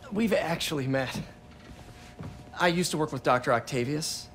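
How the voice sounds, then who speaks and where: A man's voice: a little high, moderate pace, fairly bright tone, close to an everyday voice. An actor playing a modest, good-natured young American man of science, easily awestruck, in a video game cutscene. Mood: polite. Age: young adult.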